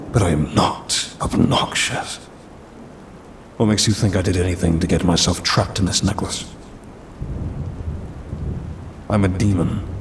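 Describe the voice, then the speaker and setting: A man's voice: deep voice, noisy background, lightly acted. A man voicing a centuries-old demon in an ASMR audio roleplay, formal and pompous, grumbling dryly yet caring. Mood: grumbling, disdainful, then bitter, weary. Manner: formal, pompous, dry complaining.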